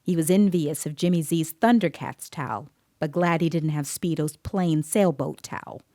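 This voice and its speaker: An actress plays an observant woman who questions a child witness and narrates the story. Her voice is low and dark in tone, recorded dry and close, with restrained, precise delivery in an audio drama style. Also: moderate pace, no room sound, natural voice. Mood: composed, observant. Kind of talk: scripted first-person dramatic narration.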